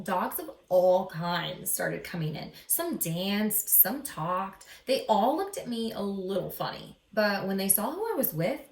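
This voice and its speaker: Animated woman storyteller in an echoey room. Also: mid-range voice. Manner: animated, dramatic pauses, teacherly questions. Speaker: read-aloud storyteller. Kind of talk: expressive picture-book reading with asides to young listeners. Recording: echoey room, slightly distant mic.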